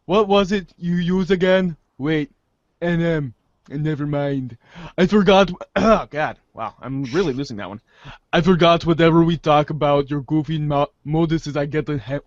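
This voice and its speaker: A too-cool teenage boy played by a man drawls slangy lines in a slightly high voice. He is nonchalant and halting, in a dry, somewhat muffled lo-fi audio-drama recording. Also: no echo, natural voice. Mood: casual, hesitant. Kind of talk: fan audio drama of a webcomic. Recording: close, dull lo-fi sound.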